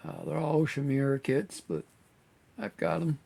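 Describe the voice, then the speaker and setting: A relaxed man chatting to his viewers about his model builds. His voice is gravelly and a little hoarse, and he rambles with plenty of fillers. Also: on the high side, normal speed. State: slightly hoarse, losing voice. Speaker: scale model builder and channel host. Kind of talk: casual chat to viewers.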